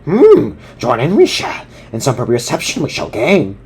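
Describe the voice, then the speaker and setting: Guy doing a croaky little ancient alien sage, curious, husky, backwards sentences, parody cartoon. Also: medium pitch, wildly swooping pitch, normal speed, a little mellow, heavily acted. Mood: agreeable. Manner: inverted word order, thoughtful hums.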